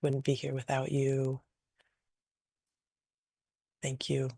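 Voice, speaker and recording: A woman leading a guided meditation in a gentle, unhurried, invitational way, improvising softly and leaving long pauses. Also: rumbling low, monotone, creaky, no echo. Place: online video call session.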